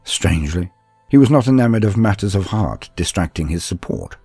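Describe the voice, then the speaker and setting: A man voicing an earnest young armored psyker apprentice, recounting his tale in solemn, deliberate, formal words as dramatic story narration. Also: low-pitched, animated, warm tone, husky, no echo, faint music behind, heavily acted. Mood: measured.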